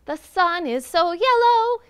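Lady doing a goofy kids story fox, super high and loud, keeps breaking into singing. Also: lively intonation, slow pace, full character voice. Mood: helplessly singing. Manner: sing-song, loud, exclaiming. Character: silly fox helplessly bursting into loud song. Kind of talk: children's storytelling.

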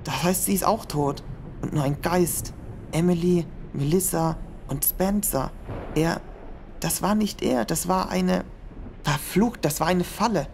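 A woman's voice: lowish voice, a little slow, creaky, a little mellow. A woman playing a frightened but defiant young man who talks in a tense, urgent way, in an amateur audio drama with noisy background hiss. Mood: panicked. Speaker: hero.

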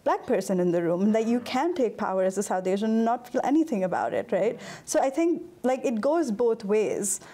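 Mellow, higher-voiced woman panelist. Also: expressive, brisk, hardly any pauses. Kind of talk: panel discussion.

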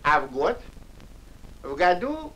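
A man's voice: high-pitched, big pitch swings, slow pace, bright, forceful tone, full character voice, low-quality recording. A man voicing a stingy, self-important old mole with a pompous, grumbling manner in a vintage cartoon. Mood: calculating. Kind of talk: Soviet animated fairy-tale acting.

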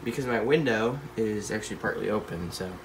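A man's voice: on the low side, expressive, brisk, noisy background. Stuffy-nosed teenage boy vlogging his pets. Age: teens. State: stuffy nose. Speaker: pet channel host. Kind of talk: casual pet care vlog. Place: home room full of tanks. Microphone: handheld phone filming tanks.

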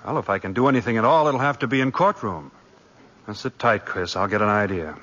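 A man playing an earnest, quick-witted lawyer and loyal friend, speaking urgently and persuasively in 1940s radio drama style. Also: fairly low pitch, animated, a bit bright. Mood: earnest, concerned. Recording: dull old radio broadcast.

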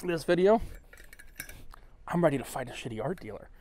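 A man's voice: on the high side, animated, fairly quick, dark tone. A tipsy man vlogging aboard a cruise ship, talking bluntly with plenty of swearing and sometimes dropping to a mutter. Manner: blunt, swearing, ranting, drops to a mutter at times. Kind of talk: casual walk-and-talk vlog.

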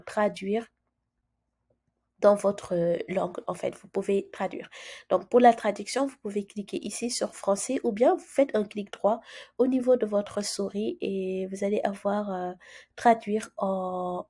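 A woman with a cold hosting a screen-share tutorial, talking softly and casually with many fillers and restarts. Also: mid-range voice, husky. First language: French. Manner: chatty, hesitant with frequent fillers and restarts. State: has a cold, speaking softly. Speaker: immigration channel host.

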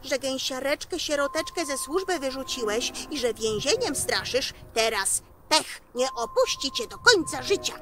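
Woman voicing a stern little devil, high-pitched. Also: animated, normal speed, hardly any pauses. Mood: stern warning. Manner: scolding, commanding, emphatic. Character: tiny stern male devil, punishing wrongdoers. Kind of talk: children's fairy-tale storytelling. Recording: music behind.

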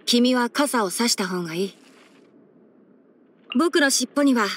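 A youthful fox-eared ranger boy from an anime game. The voice sits fairly high and boyish, with the matter-of-fact delivery of a helpful young scholar who explains things frankly and teases gently. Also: animated, a little slow. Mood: helpful, composed. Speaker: character voice actor. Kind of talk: anime game character voice lines.

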